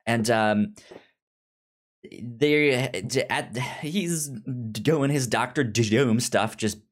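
A podcast host reviewing a book in casual chat, speaking haltingly and often stammering over repeated syllables. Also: medium pitch.

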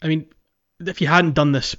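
A man's voice: a little high, fairly even intonation, normal speed, a bit bright. A man co-hosting a podcast in a casual chat about gaming, on a dry, slightly dull recording. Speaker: podcast co-host.

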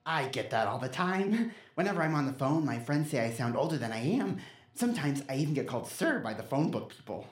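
A woman's voice: a bass voice, very animated, a little fast. A woman playing a persuasive, impatient child in an audio drama, coaxing and insistent, with a voice that sounds older than a kid's. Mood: defensive. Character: persuasive, impatient kid trapped and scheming. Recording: roomy, echoing sound.

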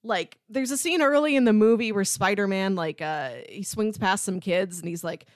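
A woman with a medium-pitched voice talks casually as a podcast co-host about films. She is enthusiastic and analytical, leaning on key words for emphasis. The sound is dry, with little room around it. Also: normal speed, no room sound. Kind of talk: casual film discussion.

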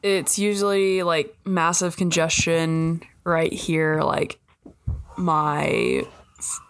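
A woman's voice: low voice, steady tone, slow pace, creaky. A young woman co-hosting a podcast in casual chat, easygoing, with a stuffy, congested voice. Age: young adult. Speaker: podcast co-host.

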